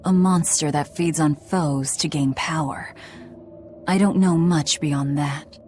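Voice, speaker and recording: A woman's voice: sits low, fairly even intonation, slow, darkish tone, slight background noise. An actress playing a guarded young woman demon who devours her enemies, speaking in a curt, cold manner in a video game cutscene.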